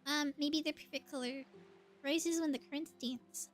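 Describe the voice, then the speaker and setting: A woman's voice: high-pitched, fairly even intonation, moderate pace, soft background music. A woman livestreamer giving casual, chatty gameplay commentary, thinking aloud as she plays.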